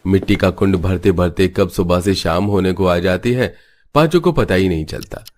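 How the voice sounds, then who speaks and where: A man's voice: low voice, normal speed, darkish tone, a little hiss or hum. A man narrating a cartoon story from a script.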